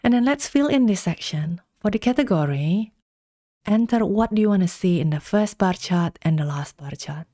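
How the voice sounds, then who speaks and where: A woman giving calm, step-by-step instructions in a screen-recorded software tutorial. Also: medium pitch, no room sound, clean studio sound. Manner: calm step-by-step instructions, slow deliberate pacing.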